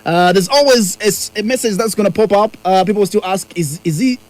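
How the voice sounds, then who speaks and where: A man's voice: high-pitched, fairly quick, darkish tone, low-quality recording. A man hosting a radio interview, asking questions hesitantly with many fillers. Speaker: radio host.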